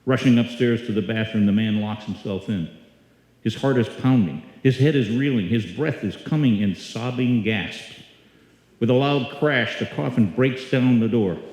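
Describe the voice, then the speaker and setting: A man hosting a live old-time radio evening, welcoming the audience and telling stories in a droll, deliberate way. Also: medium pitch, a little mellow. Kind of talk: live stage welcome and storytelling. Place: live performance in a hall before an audience. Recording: echoey hall, picked up from the stage.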